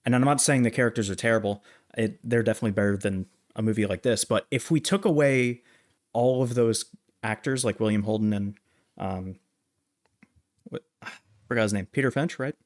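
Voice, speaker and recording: A man's voice: fairly low pitch, a little fast. A man co-hosting a film podcast, giving his opinions in an informal, conversational way. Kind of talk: casual film discussion.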